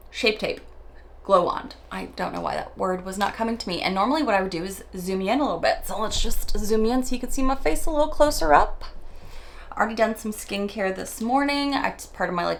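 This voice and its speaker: A woman beauty vlogger chatting casually while doing her morning makeup, her voice raspy from just waking up, a little nervous and rambling, tripping over her words and laughing at herself. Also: medium pitch, a bit bright. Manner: nervous, self-deprecating, rambling with stumbles. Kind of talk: chatty get-ready-with-me monologue.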